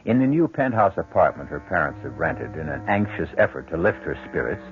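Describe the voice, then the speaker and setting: An actor as the ominous, literate host of a radio mystery, narrating in a formal, deliberate, rhetorical manner. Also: male voice, low voice, very animated, dull audio. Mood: grave, musing. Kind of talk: 1970s radio drama narration. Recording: thin 1970s radio recording over music.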